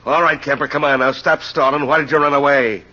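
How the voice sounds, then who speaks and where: Husky, blunt radio police inspector. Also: male voice, fairly high pitch, fairly even intonation, fairly quick, pushed and bright. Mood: stern, pushy. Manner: blunt, colloquial. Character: gruff, no-nonsense male police inspector, detective's sidekick. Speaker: actor in a radio crime drama. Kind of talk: old-time radio crime acting. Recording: dull old radio recording.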